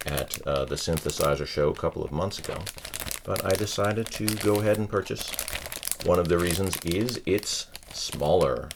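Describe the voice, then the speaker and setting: A man presenting a casual, unscripted product walkthrough for a tech channel, thinking aloud in a halting way. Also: rumbling low.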